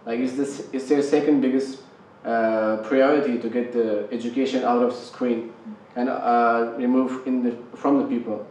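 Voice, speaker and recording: A man speaking in a hesitant, halting way, with many fillers, on a panel. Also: mid-range voice, little pitch movement, a little mellow, recorded from a distance, slight background noise. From Pakistan. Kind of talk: personal discussion. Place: studio with panel on a couch. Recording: echoey studio sound from off-mic.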